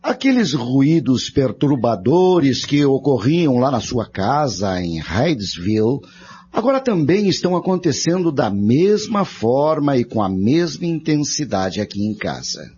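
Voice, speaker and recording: An actor playing a worried Methodist minister in a radio drama, speaking gravely and emphatically. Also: male voice, medium pitch, sing-song, a little slow, a little mellow. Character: worried Methodist minister confiding in a fellow pastor. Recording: dull lo-fi audio with hum and noise.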